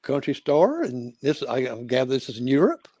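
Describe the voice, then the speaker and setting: An older man hosting an interview show, chatting casually and halting with fillers and restarts. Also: medium pitch, huge pitch swings, a little mellow, husky, no echo. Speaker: talk show host.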